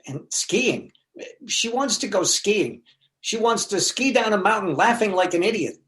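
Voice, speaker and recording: A man playing a neurotic, self-pitying, newly divorced film critic with deadpan complaints in a stage comedy. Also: high-pitched, unhurried, full character voice. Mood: wry self-pity. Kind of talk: stage comedy readers theater acting.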